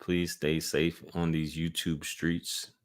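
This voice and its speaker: A man's voice: very deep voice, level tone, slow pace. A man with a light Southern American accent, casually reading out viewer questions and answering them on a live stream. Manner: laid-back, reads out chat questions and answers them.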